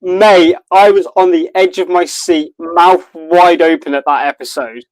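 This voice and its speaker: Higher-voiced man bantering on a podcast. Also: steady tone, measured pace, no room sound, poor audio. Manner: crude, self-deprecating joking, swears freely. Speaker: podcast co-host. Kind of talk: casual chat, watch party banter.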